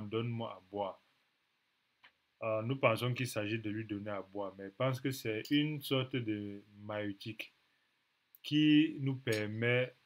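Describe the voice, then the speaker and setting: A man in his thirties with a cold gives a Bible teaching to a webcam from a small room at home. He speaks unhurriedly and a little low, pausing often and repeating phrases for emphasis. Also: a bit bright. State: has a cold, clears throat. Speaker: preacher.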